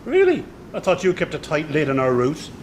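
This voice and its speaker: A man's voice: on the high side, wildly swooping pitch, fairly quick, a little hiss or hum. A man playing a sly, insolent circus hand in a radio drama, with a mocking, smug way of talking. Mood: needling, smug. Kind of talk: naturalistic radio drama acting.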